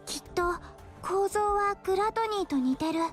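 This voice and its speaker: An earnest young anime game heroine, high and rapid. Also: female voice, expressive, faint music behind. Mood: reasoning. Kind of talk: Japanese game voice acting.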